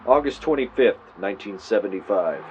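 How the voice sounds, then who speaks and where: A muffled field tape carries an actor as a male podcaster with a fairly low voice. He narrates like a campfire storyteller in an audio drama, theatrical with wry asides. Faint vehicle noise sits behind his clip-on mic. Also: expressive, fairly quick, lightly acted. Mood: ominous, dramatic. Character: male podcaster dramatically recording a spooky true-crime investigation. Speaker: podcast host character. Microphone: mic clipped to shirt.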